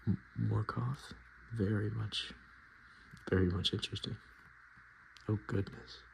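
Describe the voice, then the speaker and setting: Softly murmuring, very creaky-voiced man. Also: lowish voice, fairly even intonation, poor audio. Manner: hesitant, halting, murmured. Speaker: ASMR video host. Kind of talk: soft-spoken and whispered ASMR, casual commentary. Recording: noticeable background noise.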